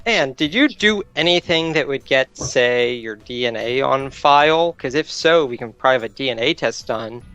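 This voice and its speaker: A man's voice: medium pitch, animated, normal speed, few pauses, a bit bright, clear, resonant tone, no echo, highs cut off. A man talking casually as a player, discussing options in a tabletop game. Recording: dry, music behind. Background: background music.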